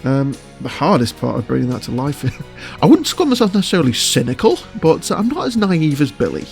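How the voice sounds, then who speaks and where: A man's voice: on the high side, big pitch swings, a little fast, gravelly, a little mellow, soft background music. A man giving casual interview answers as a voice actor, choosing his words with hesitation and often chuckling.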